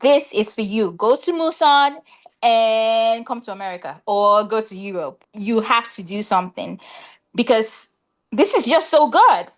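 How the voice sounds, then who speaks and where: A woman with a fairly high voice speaks as a competition judge. She gives emphatic feedback directly to a contestant, often repeating her phrases to drive them home. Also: animated, unhurried.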